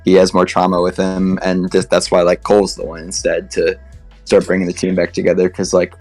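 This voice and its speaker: Guy w low monotone voice, podcast panel fan chat, says like a lot, faint music. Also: fairly quick. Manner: filler-heavy, heavy use of "like". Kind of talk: casual fan discussion.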